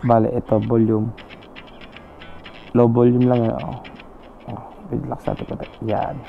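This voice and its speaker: A man's voice: mid-range voice, monotone, measured pace, creaky, soft background music. A man chatting informally to viewers as he tests a product, mixing in English, recorded on an action camera microphone fitted in a helmet. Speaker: vlogger. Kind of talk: casual product test chat. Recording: narrow, phone-like action camera sound.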